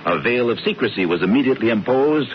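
A pompous, scheming brother, played by an actor, reads the news aloud with theatrical relish. His voice swings widely in pitch. The sound is a dull old radio broadcast with a faint hiss. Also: animated, fast talker, lightly acted. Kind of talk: 1970s radio drama acting.

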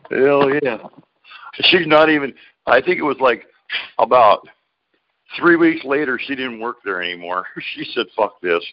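A man's voice: medium pitch, lively intonation, measured pace, slight background noise. A man co-hosting a podcast in casual chat, crude and swearing heavily. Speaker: podcast co-host. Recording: dull lo-fi audio.

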